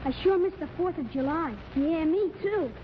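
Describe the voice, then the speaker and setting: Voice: very high voice, moderate pace. A young performer playing a bored, blunt little boy in a 1940s radio comedy. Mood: wistful. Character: wisecracking, bored little boy, spoiled by presents. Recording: noisy, muffled 1940s radio recording.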